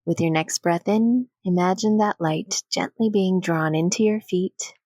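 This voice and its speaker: Very slow, soothing woman guiding meditation. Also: fairly low pitch, no echo. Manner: gentle, unhurried, soothing, with long pauses. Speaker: meditation guide. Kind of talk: guided meditation, soothing scripted narration.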